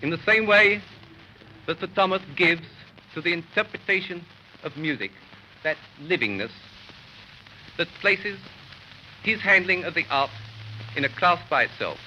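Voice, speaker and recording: An English man giving a stately, measured, formal scripted speech as the host of a ceremony, on an old 1930s recording. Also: high voice, animated, pushed and bright. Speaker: host introducing the guest speaker. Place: public opening ceremony in a store. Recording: muffled, noisy 1930s archive recording.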